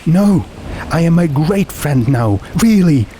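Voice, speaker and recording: A man's voice: a little high, big pitch swings, slow, warm tone, a little hiss or hum, voice pushed up. A man voicing a desperate, tearful teenage girl who pleads emotionally in a dramatic story reading. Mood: desperate pleading.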